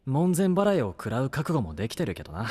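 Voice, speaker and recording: An actor playing a brash, devoted young knight-to-be who talks bluntly and casually in an anime-style voice drama. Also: male voice, medium pitch, wildly swooping pitch, fast, a little mellow. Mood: calm, wry. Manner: blunt, casual rough masculine speech. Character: brash, earnest young male knight-to-be, rough-spoken but devoted.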